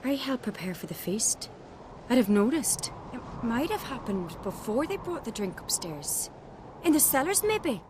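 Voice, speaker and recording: An actress playing a bold, sharp-minded young noblewoman of a warrior clan in a fantasy video game, direct and confident. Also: fairly high pitch, sing-song, normal speed, background noise. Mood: thoughtful. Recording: prominent game music behind the voice.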